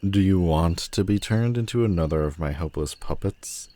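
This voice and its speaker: A man playing a menacing, world-weary telepathic supervillain in an audio roleplay, theatrical and mocking, with deliberate dramatic pauses. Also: low-pitched, warm tone, lightly acted. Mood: threatening, scornful. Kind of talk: scripted audio roleplay addressed to the listener.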